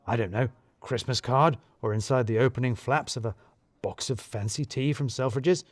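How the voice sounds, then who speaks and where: A man's voice: medium pitch, darkish tone, no room sound. A man narrating a scripted first-person audio drama in a wry, conversational, confiding way.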